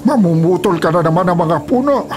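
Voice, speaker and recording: A man's voice: sits high, lively intonation, brisk, darkish tone, faint music behind. A man playing a principled, peace-loving old forest elder in a Filipino radio drama, earnest and firm, with a Filipino accent. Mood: firm confrontation.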